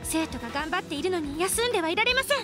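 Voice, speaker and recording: A woman playing a kind, earnest young teacher in an anime-style radio drama, polite and gentle but determined. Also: extremely high pitch, expressive, rapid-fire. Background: music.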